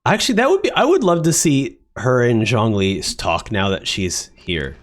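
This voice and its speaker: A man's voice: medium pitch, big pitch swings, normal speed. A man giving casual, joking, rambling live commentary as he streams a video game.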